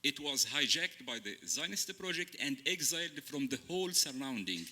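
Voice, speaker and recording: A man whose first language is Arabic, a writer on a panel, speaking slowly and searching for words in English. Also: mid-range voice, big pitch swings, sharp tone. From Palestine. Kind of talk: panel discussion. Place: stage.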